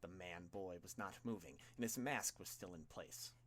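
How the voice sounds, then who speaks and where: A man's voice: sits low, normal speed, fairly bright tone, slight background noise. A man reading fan fiction as an audiobook narrator, in a dramatic scripted style.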